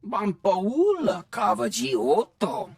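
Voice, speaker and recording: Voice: medium pitch, expressive, slow, creaky, full character voice. An actor voicing a boastful, brawling alien thug who speaks guttural invented gibberish in a video game. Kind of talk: video game character acting.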